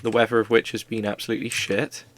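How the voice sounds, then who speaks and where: A man's voice: lowish voice, completely flat delivery, fast. A man streaming live, chatting casually and rambling playfully with his viewers while drawing.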